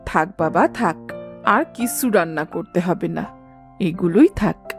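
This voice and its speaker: A woman's voice: fairly low pitch, lively intonation, unhurried, soft background music. Woman as a bossy older village mother-in-law. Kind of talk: cartoon story voice acting.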